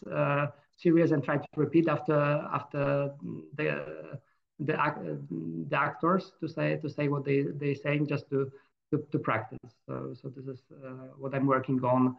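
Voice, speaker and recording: Hesitant Polish-accented man, gravelly voice. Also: a little high. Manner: hesitant, many fillers and restarts. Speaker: guest. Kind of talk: casual interview. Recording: dull lo-fi sound.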